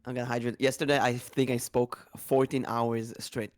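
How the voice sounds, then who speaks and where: A man hosting a casual podcast, talking in a chatty, rambling way with a slightly tired, raspy voice. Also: medium pitch, flat delivery, moderate pace, crowd noise. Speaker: podcast host.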